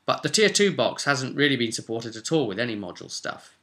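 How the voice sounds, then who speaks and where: A man's voice: mid-range voice, big pitch swings, a little fast, pushed and bright. A man talking informally in a casual gaming vlog, thinking aloud as a tabletop game master in a conversational way.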